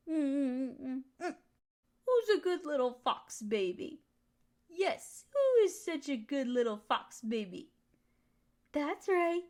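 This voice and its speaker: Woman acting a nurturing girlfriend, high voice, affectionate, teasing, soothing pet-talk, intimate audio roleplay. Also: exaggerated voice. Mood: cooing, playful. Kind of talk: intimate comfort roleplay monologue.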